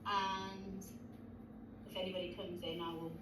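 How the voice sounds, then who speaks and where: A woman hosts an online session, chatting along in a friendly, rambling way. She sounds set back from her mic. Her voice carries a roomy echo and steady background noise. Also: lowish voice, unhurried. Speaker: host. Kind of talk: casual webinar hosting. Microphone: video call. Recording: distant, noisy, echoey call audio.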